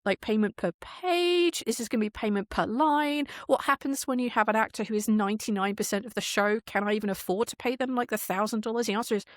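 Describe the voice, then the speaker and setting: Female podcast guest, very quick, chatty and rambling, close dry sound. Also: on the high side, no room sound. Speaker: podcast guest, audio drama producer. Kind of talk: casual, candid interview talk.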